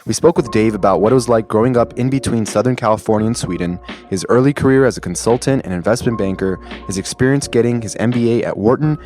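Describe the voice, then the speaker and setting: Low-voiced male podcast co-host intro. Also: darkish tone. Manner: measured, scripted delivery. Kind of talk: read-out episode intro.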